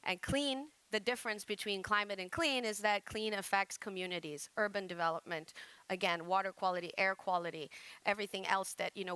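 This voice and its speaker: Middle-aged woman doing a keynote, crisp smooth voice, lots of um and you know. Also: on the low side, bright, forceful tone. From Malaysia. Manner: fast, jargon-heavy, many fillers and restarts. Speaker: conference speaker. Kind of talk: conference keynote presentation.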